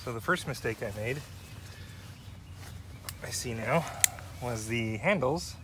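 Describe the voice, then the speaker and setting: A man's voice: mid-range voice, takes it slowly. A man in his forties cooking outdoors in autumn woods, chatting casually and thinking aloud with fillers and self-deprecating asides. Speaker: outdoor vlogger. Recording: outdoor recording with clear background noise.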